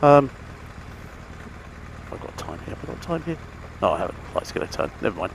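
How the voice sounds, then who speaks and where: A man's voice: fairly low pitch, very animated, brisk, creaky. A man giving a casual, rambling, matter-of-fact gear chat as a motorcycle vlogger. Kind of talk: casual chatty gear review while riding. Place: outdoors inside a helmet on a motorbike. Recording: engine, traffic and outdoor noise, helmet mic.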